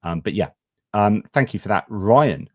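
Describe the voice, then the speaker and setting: A man's voice: fairly low pitch, lively intonation, moderate pace, a little mellow, no room sound. A man hosting a live online stream, reading out viewer comments, rambling and joking at his own expense, a little tipsy from wine. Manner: joking, rambling, self-mocking. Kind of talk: casual live chat.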